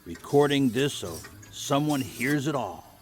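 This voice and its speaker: An actor playing a weary American war veteran in his eighties, haltingly recounting his past in an audio drama. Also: medium pitch, lively intonation, lightly acted. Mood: hesitant, solemn. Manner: halting, reminiscing. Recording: old reel-to-reel tape testimony with music.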